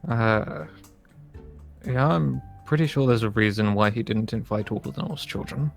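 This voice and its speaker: A man playing a dry, skeptical demigod camper in improvised Minecraft roleplay, speaking in a hesitant, deadpan way. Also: mid-range voice, normal speed, vocal fry, faint music behind, lightly acted.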